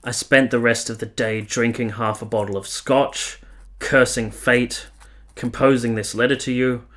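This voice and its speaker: An actor playing a weary, earnest, lovestruck army officer who narrates a radio play in solemn, literary, confessional language. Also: male voice, on the low side, a bit bright, close to an everyday voice. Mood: weary, resigned. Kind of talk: radio play, first-person letter narration.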